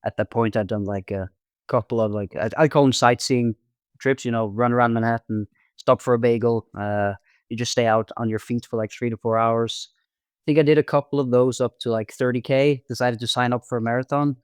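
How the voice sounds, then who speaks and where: A man in his twenties with a Norwegian accent, chatting casually as a podcast guest, halting often with ums and repeated words. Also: mid-range voice, fairly even intonation, clear, resonant tone, no echo, clean studio sound. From Norway. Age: 20s. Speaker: podcast guest, amateur marathon runner. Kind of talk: casual interview chat.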